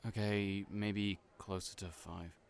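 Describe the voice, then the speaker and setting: A man playing a kind, gentle friend in an audio roleplay, who speaks hesitantly with frequent fillers. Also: deep voice, flat delivery, takes it slowly, gravelly, exaggerated voice.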